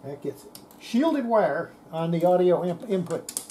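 Older woman, mellow soft-edged tone, casual repair commentary, talking to herself, faint background noise. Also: lowish voice, lively intonation, unhurried. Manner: muttering to self while working. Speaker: radio restorer. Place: cluttered indoor electronics workbench. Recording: noisy workshop room recording.

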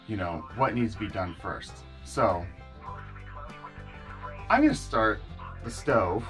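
A man talks through a boat repair in a small cabin, dry and wry. His pitch jumps around a lot as he works. Clear music plays beneath his voice on the camera audio. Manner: wry, understated, talks to himself while working. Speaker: boat owner vlogger. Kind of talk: casual vlog commentary while working. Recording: music under the voice. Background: background music.

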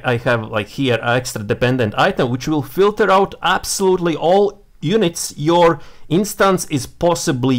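A young man with a Latvian accent, a native Latvian speaker, walking through a software setup on a screen share in a small room, talking with many fillers and restarts. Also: medium pitch. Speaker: software tutorial presenter.

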